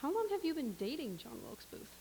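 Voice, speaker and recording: A deadpan cafe server, played by a woman, answers customers flatly. Her voice is a bit high, with a light hiss behind it. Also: a little fast. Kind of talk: staged play reading.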